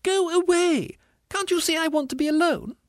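Man putting on a shrill, squealing female ghost. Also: normal speed, no echo, clean studio sound. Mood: indignant. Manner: whining, indignant, self-conscious. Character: panicky, vain female ghost in shabby finery. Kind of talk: audiobook character voice.

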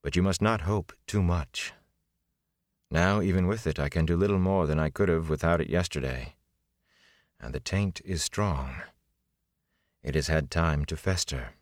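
A man voicing a composed, weary sorceress who speaks in a measured way. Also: sits low, no echo, clean studio sound. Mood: grave. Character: calm, weary female sorceress.

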